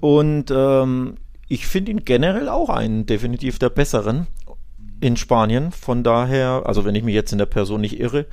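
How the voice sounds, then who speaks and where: A man with a medium-pitched voice. He speaks as a podcast co-host in relaxed sports conversation, explaining and qualifying his views in a measured way. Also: creaky. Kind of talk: casual football podcast discussion.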